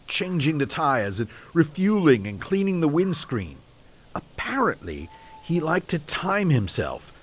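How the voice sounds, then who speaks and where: Man acting animated, haunted ex-detective. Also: on the high side, slight background noise. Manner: courteous, storytelling, old-fashioned turns of phrase. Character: earnest, haunted male British ex-detective, grieving and obsessive. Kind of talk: audio drama dialogue.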